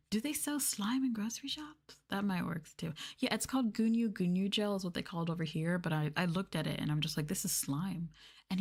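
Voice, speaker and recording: A woman speaking softly and intimately in a casual ASMR chat, at times dropping to a whisper. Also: mid-range voice, breathy edge. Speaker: streamer, VTuber.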